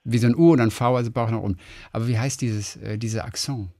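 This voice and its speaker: A man's voice: medium pitch, steady tone, quick pace, warm tone. A middle-aged man co-hosting a radio show, chatting casually and rambling as he searches for words. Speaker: radio host. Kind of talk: casual on-air chat.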